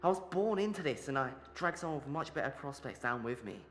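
A man's voice: on the high side, lively intonation, quick pace, a bit bright. A man playing a young petty thief in prison in an audio drama, remorseful and earnestly resolved to reform.

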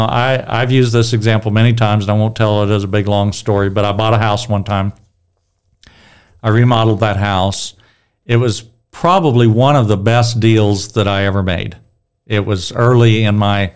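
A middle-aged man with a strong, smooth, resonant voice leads a relaxed Bible discussion. His voice sits low, and he talks loosely, rambling and restarting his sentences as he goes. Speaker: Bible study leader.

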